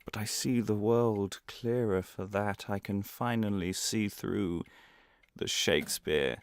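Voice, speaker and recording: Male actor as unhinged conspiracy-minded academic, middle-aged. Lower register, theatrical, rambling audio-drama monologue that veers between mutter and rant. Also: slow, smooth, dry recording, studio quality. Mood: fervent, conspiratorial. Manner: rambling, wordy, swinging from muttering to ranting.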